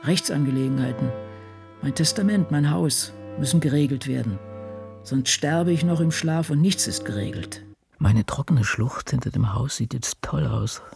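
A woman's voice: a bass voice, normal speed, vocal fry. An older woman playing an ageing, world-weary writer confiding dryly and introspectively in her diary in a radio play. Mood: dry, reflective. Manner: brooding, dry, introspective. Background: soft music.

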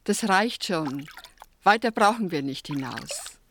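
A small-town secretary in a film drama. She is blunt, colloquial and teasing, her pitch very animated and her delivery unhurried, over faint background noise. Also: exaggerated voice. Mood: flirtatious. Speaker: actor. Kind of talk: naturalistic film drama dialogue.

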